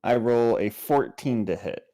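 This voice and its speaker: A man's voice: mid-range voice, a little slow, mellow, no echo. A man chatting casually as a player at a tabletop role-playing session. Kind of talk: casual game-table chat.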